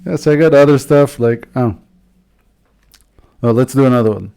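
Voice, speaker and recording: A man hosting a podcast in a loose, casual chat, reading jokes aloud and filling pauses with "uh" and "um". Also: medium pitch, gravelly, dark tone, clean tone. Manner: chatty, loose, many fillers. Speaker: podcast host.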